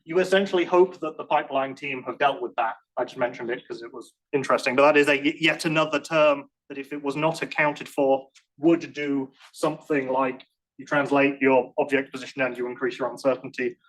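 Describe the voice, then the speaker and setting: A man's voice: mid-range voice, fairly even intonation, brisk, a bit bright, poor audio. A man answering questions after a conference talk in a lecture room, speaking casually with frequent restarts and self-deprecating asides. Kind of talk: technical Q&A, casual and hedging.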